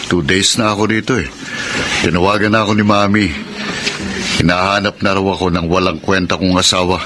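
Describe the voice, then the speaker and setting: A man's voice: sits low, steady tone, fairly quick, close to an everyday voice. A man playing a discontented, evasive cheating husband in a radio melodrama, grumbling and hesitant. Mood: annoyed, evasive. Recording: clearly noisy radio drama track.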